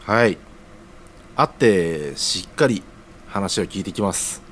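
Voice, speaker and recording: A man's voice: sits low, lively intonation, slow pace, a bit bright, slight background noise, exaggerated voice. An actor playing an earnest, deferential young man, talking in casual junior-style polite speech in an audio drama. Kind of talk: scripted audio drama acting.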